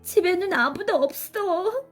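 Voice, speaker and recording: A woman's voice: very high-pitched, animated, unhurried, faint music behind. A woman voicing a panicky, pleading young girl in a children's storybook, urgent and breathless. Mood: panicked, tearful. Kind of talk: children's storybook character acting.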